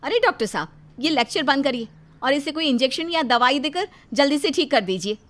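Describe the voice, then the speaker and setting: A wicked eldest daughter-in-law in a cartoon, mocking and gloating in a shrill, loud voice, as the narrator puts her on. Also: animated, a little fast.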